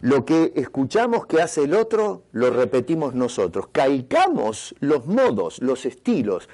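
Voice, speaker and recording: An Argentine man preaches to his congregation in a voice of ordinary middle pitch. He talks in an earnest way and keeps turning to the listeners with rhetorical questions. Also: sing-song, measured pace, a little mellow. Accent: Argentine Spanish. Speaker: pastor. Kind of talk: sermon. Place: church retreat in Canada.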